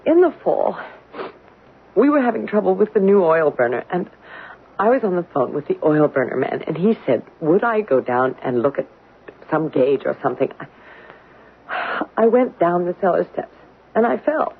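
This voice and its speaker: An actress playing a frightened young suburban wife and mother in a 1970s radio drama, speaking in a breathy, anxious way. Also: lowish voice, sing-song, moderate pace, long pauses, exaggerated voice. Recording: thin phone-like old radio broadcast.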